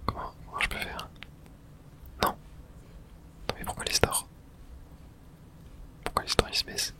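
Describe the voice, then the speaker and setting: A man's voice: a little slow, sharp tone. Guy whispering asmr gameplay commentary, muttering little bits to himself as he plays. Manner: murmured reactions to himself in short fragments. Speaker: video game streamer.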